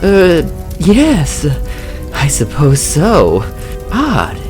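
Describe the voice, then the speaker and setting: A woman's voice: low voice, huge pitch swings, extremely slow, exaggerated voice. A woman voicing a dreamy, smitten female reporter, musing aloud in a comic-book dub. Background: music.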